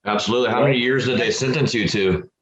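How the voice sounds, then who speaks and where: A man's voice: mid-range voice, quick pace, a bit bright. A man casually introducing and interviewing a guest as co-host. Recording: echoey room with crowd murmur.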